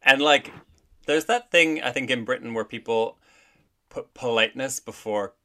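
A man's voice: mid-range voice, normal speed, bright, forceful tone. A man from Canada, a drag performer, chatting casually as a guest on a podcast, full of "like" and "I think". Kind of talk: casual interview chat.

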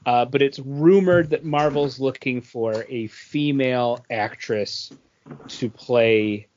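A man co-hosting a casual geek podcast, wandering through his thoughts with plenty of ums and restarts. His voice sits in an ordinary middle pitch, and the recording sounds dull and lo-fi. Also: slow pace, darkish tone, slight background noise. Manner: rambling, many fillers and restarts. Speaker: podcast co-host. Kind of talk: casual chat.

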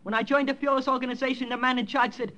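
A zealous, suspicious thirteen-year-old boy in an old radio drama. The voice is very high, with a proud, insistent, earnest manner. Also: flat delivery, racing, pushed and bright, lo-fi sound, a little hiss or hum. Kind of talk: 1950s radio drama acting. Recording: old-time radio recording.

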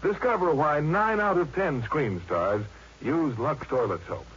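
A man's voice: fairly high pitch, animated, measured pace, a bit bright, husky. An American man reading a scripted 1950s radio advertisement as a commercial announcer. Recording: muffled vintage radio, faint noise.

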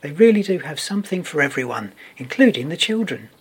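A man's voice: on the high side, very animated, fairly quick, breathy edge. A man reading a scripted sponsor message.

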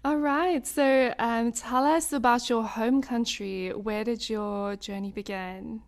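A woman's voice: on the high side, fairly even intonation, takes it slowly. A woman hosting an interview podcast, asking questions and giving short encouraging replies. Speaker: podcast host. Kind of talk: conversational interview.

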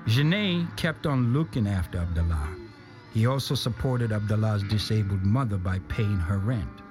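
A man with a fairly low voice narrates a written story over music. His delivery is measured and deliberate, with phrases set apart by pauses, in the manner of literary storytelling. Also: lively intonation. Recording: music playing behind the voice.

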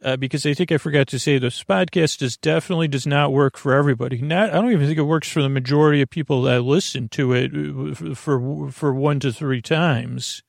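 A man with a creaky voice hosts a bedtime podcast, rambling in a halting, meandering, self-deprecating way full of tangents. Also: on the high side, no echo, clean studio sound. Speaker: podcast host.

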